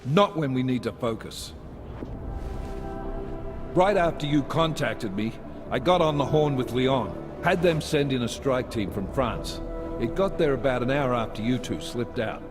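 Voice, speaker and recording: An actor playing a blunt, exasperated police unit commander in a video game, with a stern manner. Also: male voice, medium pitch, animated, unhurried, long reverb tail, natural voice. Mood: urgent. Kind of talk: cinematic video game acting. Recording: game soundtrack music behind.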